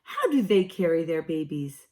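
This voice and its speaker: A woman's voice: low-pitched, sing-song, moderate pace, a little mellow. Woman doing an eager little boy kangaroo. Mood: worried.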